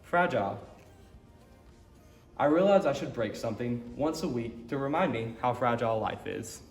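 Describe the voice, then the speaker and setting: Man, picture-book read-aloud, medium pitch, echoey room, music in the recording. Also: lively intonation, normal speed. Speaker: story time reader.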